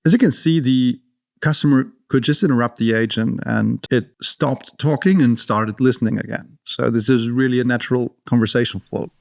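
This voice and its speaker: Creaky-voiced male demo presenter, dry sound. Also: mid-range voice, moderate pace, no echo. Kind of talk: scripted demo narration.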